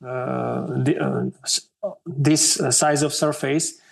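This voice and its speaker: A man in his thirties from Armenia, speaking English as a second language with an Armenian accent, slowly searching for words with many hesitations as an interview guest. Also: mid-range voice, fairly even intonation, gravelly. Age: 30s. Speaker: guest artist. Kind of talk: interview conversation.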